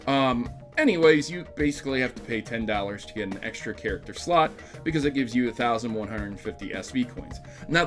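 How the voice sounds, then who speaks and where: A young man hosting video game news, delivering opinionated commentary in an emphatic, sarcastic, ranting manner. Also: mid-range voice.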